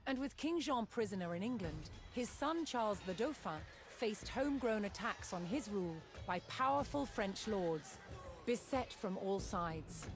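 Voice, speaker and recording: A woman reading scripted historical documentary narration in a measured, dramatic storytelling manner with deliberate pauses. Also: medium pitch, animated. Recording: studio narration over background music. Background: music.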